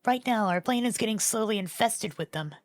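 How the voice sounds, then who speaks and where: A woman playing a dutiful young queen in an animated fan dub, courteous and earnest, a little hesitant and unsure. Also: medium pitch, brisk, dry recording, lightly acted.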